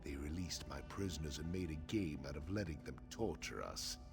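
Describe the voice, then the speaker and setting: An actor playing a bitter, taunting captured orc chief in a video game, with a gravelly voice. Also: male voice, rumbling low, moderate pace. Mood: bitter, scornful. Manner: taunting, sardonic. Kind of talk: video game character acting. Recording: game music under the voice.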